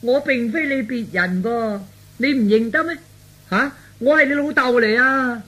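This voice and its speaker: Casting: woman as flustered old father, high and raspy, stammering and wailing, comic radio drama. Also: measured pace, a bit bright. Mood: alarmed, lamenting. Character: flustered, fretful elderly father of a grown son.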